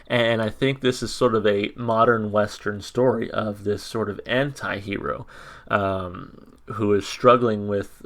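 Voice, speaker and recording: Low-voiced monotone man with many ums. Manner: hesitant, many ums and restarts. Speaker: music reaction YouTuber. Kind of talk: casual reaction commentary.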